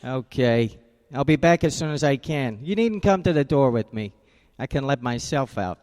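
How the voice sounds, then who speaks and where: An actor playing a tough private detective who narrates and talks in a clipped, wry way, in 1940s radio style. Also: male voice, mid-range voice, lively intonation, moderate pace, darkish tone, big-room sound, lightly acted. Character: hard-boiled male private detective narrator.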